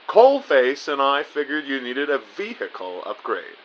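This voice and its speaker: A man's voice: mid-range voice, wildly swooping pitch, measured pace, highs cut off. A man playing a genteel, encouraging spy agency boss in an audio drama, with formal and reassuring wording.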